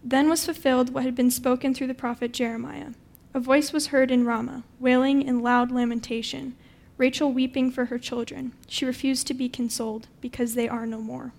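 A woman's voice: high-pitched, level tone, normal speed, gravelly, a little mellow. A woman reading a Bible passage aloud from a script as narration. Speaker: scripture reader.

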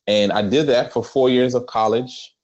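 A man with a Trinidadian accent, a pastor telling his life story as a podcast guest, with many pauses. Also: medium pitch, fairly even intonation, darkish tone, muffled recording. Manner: earnest storytelling with frequent "um" pauses. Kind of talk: conversational interview.

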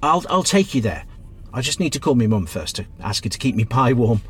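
Man acting kind british taxi driver in a radio play, middle-aged, reassuring, voice moves up and down a lot, faint rumble. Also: very animated, a little fast, lightly acted. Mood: kindly, insistent. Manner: hesitant, reassuring, plain-spoken. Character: kindly, encouraging male taxi driver.

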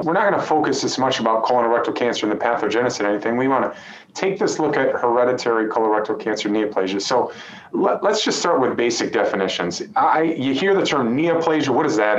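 Host casting: middle-aged man, chatty and friendly, recorded in a roomy space with dull audio and faint ambience. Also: mid-range voice. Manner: friendly, conversational, uses "you know" and quick asides. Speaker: podcast host, surgeon. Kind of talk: hosting a medical podcast interview.

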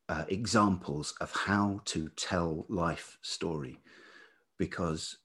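A man with a velvety voice talking reflectively as an interview guest, thoughtful and halting, often pausing mid-phrase. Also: deep voice, slightly rough. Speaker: podcast guest, writer and life writing teacher.

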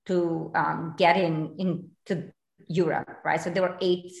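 A woman's voice: fairly low pitch, level tone, takes it slowly, creaky. A woman in her forties from Romania, a realtor guest in a casual interview, chatty with many fillers and restarts. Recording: echoey room.

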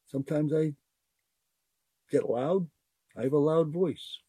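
An older man with a very husky, mellow voice talks to his listeners unscripted, earnest and rambling, circling back over the same phrases. His pitch swings widely as he talks, and the sound is dry and close. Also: slow, dry recording. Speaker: podcast host. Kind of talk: unscripted heartfelt monologue.

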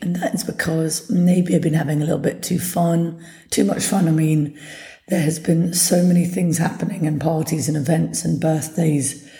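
Hoarse woman podcaster, low gravelly voice. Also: mellow. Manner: chatty, upbeat, motivational. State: hoarse, partly lost voice. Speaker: podcast host. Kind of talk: casual solo podcast talk. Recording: echoey room.

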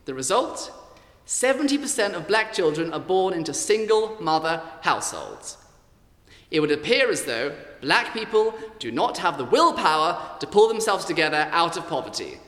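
A man's voice: a little high, very animated, moderate pace, a bit bright. A man playing a flamboyant, condescending right-wing provocateur in an audio-play panel debate, with a mocking, theatrical manner. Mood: smug, argumentative. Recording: echoey hall with long reverb.

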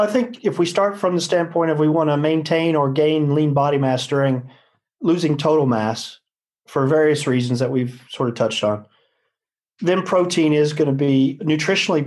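Higher-pitched man explaining science. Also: darkish tone. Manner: rambling, self-correcting, explanatory. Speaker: nutrition scientist. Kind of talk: conversational expert discussion.

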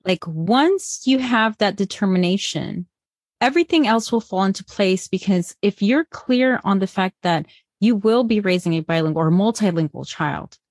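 A woman's voice: medium pitch, animated, normal speed, dry recording, studio quality. A woman from Colombia, a bilingual parenting educator, answering interview questions in an earnest, encouraging way with frequent restarts.

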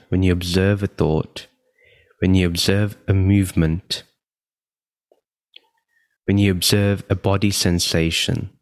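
Male meditation guide, very low pitch, unhurried and calm delivery with long pauses, dry close sound. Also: no room sound.

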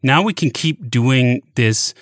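Guy hosting a podcast, blunt and swears a lot, keeps restarting, middle pitch, dead dry mic. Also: lively intonation, takes it slowly, dry recording. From Denmark. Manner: emphatic and frank, swears freely, restarts phrases. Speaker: podcast host. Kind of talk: casual podcast conversation.

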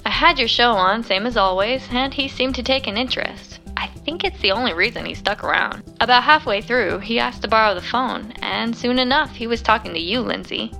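A young diner waitress in an audio drama. She is friendly and chatty, with a high, very bright voice and an informal, chirpy way of talking, over a music bed. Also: brisk, gravelly, few pauses. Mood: chatty recounting. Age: young adult.

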